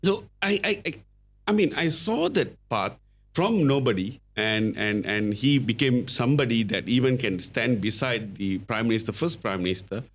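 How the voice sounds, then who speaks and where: A middle-aged man from Malaysia with a Malaysian English accent, a podcast guest telling his story in a halting way, full of fillers and restarts. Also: medium pitch, animated. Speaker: guest, company founder.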